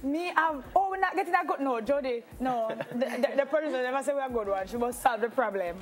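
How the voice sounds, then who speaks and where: A woman with a Jamaican accent hosting a TV morning show, talking casually with lively asides. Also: high-pitched. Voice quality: lively, laughing, quick asides. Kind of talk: casual studio chat.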